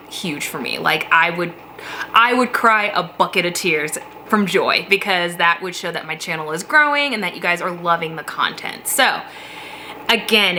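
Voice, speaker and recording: Woman beauty vlogger: bright, chatty, a little sniffly, casual to-camera talk from a home basement office. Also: medium pitch, a little hiss or hum. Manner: chatty, rambling, laughs often. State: slightly sniffly from a cold.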